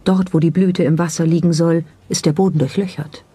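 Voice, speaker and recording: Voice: mid-range voice, fairly even intonation, a little fast, warm tone, slightly rough, dry recording. A narrator reading scripted documentary commentary in German voice-over style. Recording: voice over background music. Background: background music.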